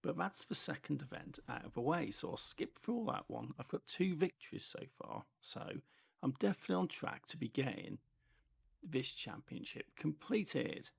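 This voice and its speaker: A British man with a hoarse, fading voice and hissy S sounds, giving casual running commentary on a racing game as he drives. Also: fairly high pitch, sing-song, moderate pace. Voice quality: heavy sibilant S sounds. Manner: chatty running commentary while driving. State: voice going hoarse from a cold. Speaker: gaming channel host.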